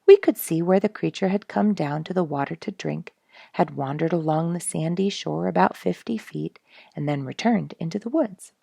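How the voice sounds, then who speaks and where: A woman reading a nature storybook aloud in an expressive, measured, dramatic storytelling manner. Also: fairly low pitch, gravelly, dry recording, clean studio sound. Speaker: audiobook reader and show host.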